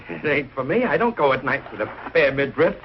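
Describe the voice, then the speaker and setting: An actor playing a blustery but soft-hearted working-class father in a 1940s TV sitcom, folksy and joking. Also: mid-range voice, very animated, brisk, close to an everyday voice. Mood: joking, fond. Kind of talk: 1940s live TV sitcom acting. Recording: dull, noisy old TV soundtrack with audience.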